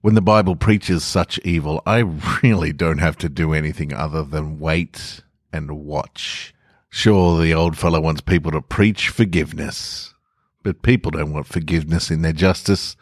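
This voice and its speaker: A man voicing a patient, gloating male spirit of evil, musing slowly and quietly to himself in a scripted first-person story reading. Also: rumbling low, no room sound, studio quality.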